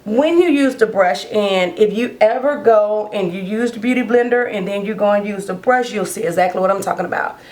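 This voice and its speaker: Hoarse female beauty vlogger, rambling and chatty, mid-pitch voice, casual makeup talk. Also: brisk, few pauses, a little mellow, poor audio. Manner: chatty, rambling, talks while doing makeup. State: hoarse, losing her voice. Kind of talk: casual get-ready-with-me chat.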